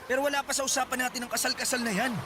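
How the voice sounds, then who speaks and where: Evasive young boyfriend, rapid dismissive delivery, hissy line. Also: male voice, very high-pitched, level tone. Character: young boyfriend refusing to take responsibility. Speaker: voice actor. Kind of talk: radio drama acting.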